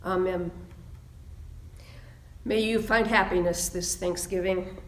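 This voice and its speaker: A woman minister leading a reflective prayer and meditation in a solemn, measured way with deliberate phrasing. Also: on the low side, steady tone. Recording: roomy, echoing sound.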